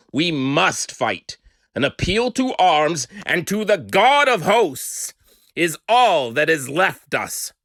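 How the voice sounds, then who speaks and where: A man doing a dramatic, oratorical reading in a deliberate, declamatory and emphatic manner. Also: medium pitch, a bit bright, no echo, studio quality. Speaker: amateur dramatic reader.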